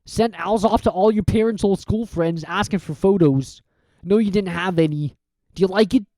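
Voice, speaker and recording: A reader voicing a huge, soft-hearted, bearded male groundskeeper who talks loudly and roughly. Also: mid-range voice, normal speed, voice pushed up, lightly acted, rough recording. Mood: gruff. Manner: loud, blubbering and rough-spoken.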